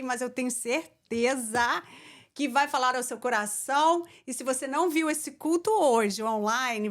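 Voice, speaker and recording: A woman hosting a faith podcast, effusive and enthusiastic, frequently affirming her guest. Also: a little high. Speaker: podcast host. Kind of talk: podcast interview.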